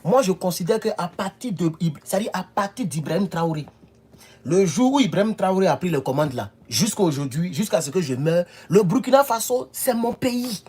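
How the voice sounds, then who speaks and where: A man's voice: high-pitched, animated, moderate pace, dark tone, slightly rough. A man from the Ivory Coast, giving emphatic political commentary in a home video and often repeating himself. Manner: emphatic, repeats himself, rises to insistent peaks.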